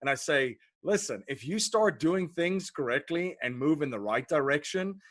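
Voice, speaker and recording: Guy hosting a podcast, excited, kinda stumbles n repeats words, no room echo at all. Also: fairly high pitch, moderate pace, clean studio sound. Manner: enthusiastic, repeats words while finding phrasing. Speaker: podcast host. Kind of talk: casual interview chat.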